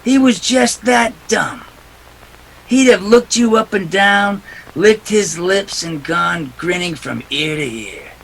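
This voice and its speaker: An actor playing a tough, cynical private detective with a clipped, sardonic delivery in a detective radio play. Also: male voice, sits high, expressive, slow, creaky, fairly bright tone, full character voice. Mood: accusing.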